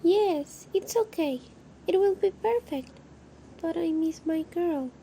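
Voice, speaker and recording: A woman acting a grieving, apologetic farmer and nanny in an amateur radio drama, speaking haltingly. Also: very high-pitched, expressive, mellow, full character voice. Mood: grateful, mournful. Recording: muffled, with clear background noise.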